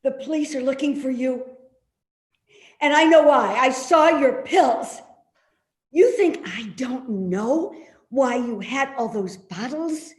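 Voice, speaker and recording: Woman playing stubborn old mom in a stage drama, high voice, slow, accusing, echoey room. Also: a little mellow. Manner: defiant, accusatory. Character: Ruth, defiant, accusing old mother, frail but stubborn. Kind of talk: dramatic play reading.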